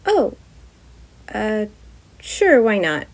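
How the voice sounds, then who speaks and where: A woman's voice: mid-range voice, very animated, extremely slow, heavily acted. A woman voicing an earnest young female principal who talks hesitantly. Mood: unsure. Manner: hesitant, stumbling.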